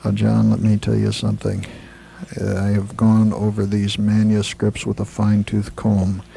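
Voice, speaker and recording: An actor playing an excited, impatient literature professor in an earnest, emphatic way, in 1980s radio drama style. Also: male voice, on the low side, gravelly, slight background noise, rough recording.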